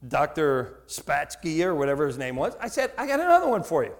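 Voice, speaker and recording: A man with a New Jersey accent preaching a sermon, telling a story and acting out both sides of a conversation with lively, repeated words. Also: on the high side, a little fast. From United States. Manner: animated, acts out dialogue, repeats words.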